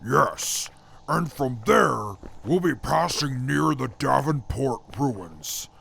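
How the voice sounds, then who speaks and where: A man's voice: mid-range voice, lively intonation, slow pace, creaky, pushed and bright, slight background noise, full character voice. A man playing a disdainful ex-army scout in an audio drama, dry, stern and formal. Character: gruff, disdainful ex-army wasteland scout, male. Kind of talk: post-apocalyptic audio drama acting.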